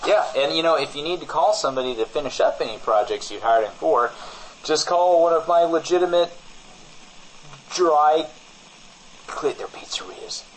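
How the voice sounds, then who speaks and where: A man playing a shady, evasive businessman in improvised comedy banter, deadpan and casually menacing, often backtracking on himself. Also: on the high side, moderate pace, long pauses, slight background noise, close to an everyday voice, rough recording. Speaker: podcast co-host playing a character.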